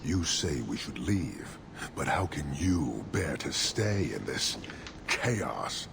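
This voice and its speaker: Super deep gravelly fantasy warlord, stern and scornful, game dialogue, loud ambience behind. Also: male voice, very animated, background noise, heavily acted. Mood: cold disgust. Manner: measured, solemn, disdainful. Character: stern imposing male Qunari warrior leader, disdainful and rigid.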